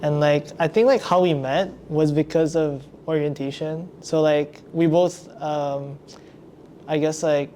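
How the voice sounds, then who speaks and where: A man's voice: fairly high pitch, steady tone, a little slow, mellow, slight background noise. A young man from Indonesia hosting a podcast, chatting casually and filling his sentences with lots of "like". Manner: chatty, filler-heavy, lots of "like". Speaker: podcast host.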